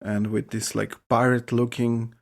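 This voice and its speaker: A man's voice: mid-range voice, little pitch movement, normal speed, creaky. A man co-hosting a podcast, explaining history in a casual conversational style. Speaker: podcast co-host.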